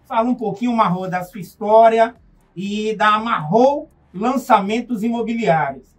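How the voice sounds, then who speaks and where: A man's voice: sits high, measured pace, recorded from a distance. A man hosting a radio interview, asking upbeat leading questions in a studio. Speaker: radio show host.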